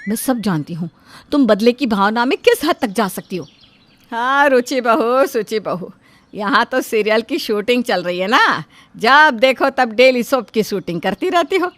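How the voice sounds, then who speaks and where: A woman's voice: a little high, animated, normal speed, a bit bright, a little hiss or hum. A woman playing a stern, fed-up mother-in-law in a household story, scolding with sarcasm and command. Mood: exasperated, commanding. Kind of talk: animated Hindi moral story dubbing.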